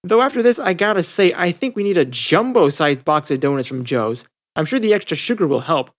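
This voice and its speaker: A reader voicing a bubbly, excitable, hyper teenage cartoon girl in a fan story. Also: medium pitch, a little fast, pitched above the performer's own voice, lightly acted. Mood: cheerful. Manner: breezy, excitable, cheerful.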